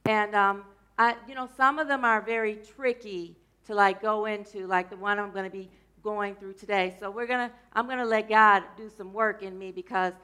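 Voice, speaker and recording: A woman minister giving a loose, chatty sermon to her congregation. Her voice is scratchy today and she keeps clearing her throat, and she tends to wander off topic and joke along the way. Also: medium pitch, fairly even intonation, moderate pace, pushed and bright. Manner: rambling, playful, drifts off topic mid-sentence. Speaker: minister of a church.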